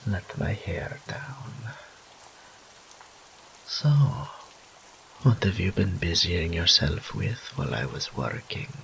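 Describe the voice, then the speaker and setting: A man acts out a seductive male incubus demon for a roleplay audio drama, teasing and doting. The voice swings widely from purr to whisper with a gravelly edge. The delivery is a theatrical, very unhurried drawl, over a faint wash of rain. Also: deep voice, fairly bright tone, a little hiss or hum, exaggerated voice, poor audio. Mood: playful teasing. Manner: theatrical drawl, purring, breathy whispered asides.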